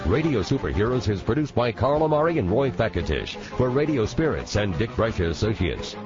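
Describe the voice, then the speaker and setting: A male announcer reads a scripted radio outro with lively, wide intonation. Loud music plays behind his slightly dull-sounding voice. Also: fairly low pitch, fairly quick. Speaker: show announcer.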